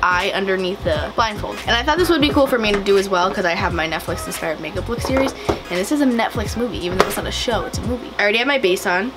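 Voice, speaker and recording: Raspy young woman chatting through makeup. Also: mid-range voice. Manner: chatty, rambling, giggly asides. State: slightly hoarse from a cold. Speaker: makeup vlogger. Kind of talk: casual makeup tutorial chat. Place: small home studio with paper backdrop.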